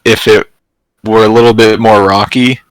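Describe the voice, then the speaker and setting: Low-voiced man in casual soccer chat. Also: level tone, moderate pace, rough recording. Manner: hesitant, trails off with fillers and laughs. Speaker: podcast co-host.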